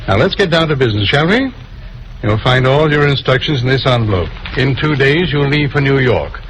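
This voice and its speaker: A man acts out a smug ringleader of a spy gang in an old radio crime drama. He talks down to people in a suave, theatrical, mid-pitched voice over clear background noise. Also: animated, brisk, close to an everyday voice. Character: smug male villain, patronizing leader of secret spy ring. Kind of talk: 1940s-50s radio crime drama acting. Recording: noisy old radio recording.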